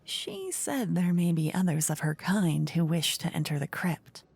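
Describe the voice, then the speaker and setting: A woman playing a playful, haughty, easily bored queen in a fantasy ASMR roleplay, soft-spoken and teasing. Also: lowish voice, big pitch swings, measured pace, gravelly, slightly rough, dry recording, heavily acted. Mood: smug, delighted. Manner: theatrical, teasing, soft-spoken with whispery phrases. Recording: close dry ASMR microphone.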